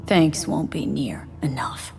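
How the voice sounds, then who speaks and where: Casting: hard-edged female merc for a game, low register, blunt and defiant, clear music underneath. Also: slow pace, a little mellow, heavily acted. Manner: blunt, clipped, sweary slang. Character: tough, defiant, distrustful female street mercenary. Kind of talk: dramatic video game dialogue. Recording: music behind the voice.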